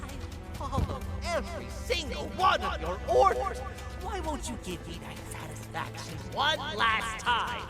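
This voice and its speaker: A man voicing a manic, theatrical jester creature in a video game, desperate and unhinged, swinging from hushed brooding to shrieks. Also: very high voice, expressive, unhurried, over music. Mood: desperate, pleading.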